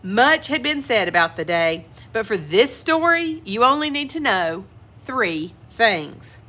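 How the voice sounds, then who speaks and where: Animated, bright-voiced woman reading aloud. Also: fairly high pitch, a little slow. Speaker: children's story reader. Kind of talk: expressive picture-book read-aloud with asides to young listeners.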